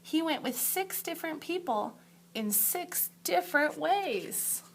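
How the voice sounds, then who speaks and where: A woman teacher reading a picture book aloud to young children in a deliberate, emphatic storytelling way, with asides to her pupils. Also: high-pitched, expressive, creaky, a little hiss or hum. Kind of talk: expressive picture-book read-aloud for second graders.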